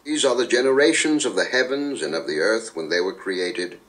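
A man reading scripture aloud in a steady, measured way, played back through a small speaker. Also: fairly low pitch, low-quality recording. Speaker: scripture reader. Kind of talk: scripted Bible narration.

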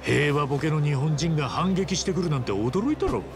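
A man voicing an easygoing but fearless Japanese tough traveller in a video game cutscene, with casual, rough street speech. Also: fairly high pitch, animated, fairly quick, over music. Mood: calm, defiant.

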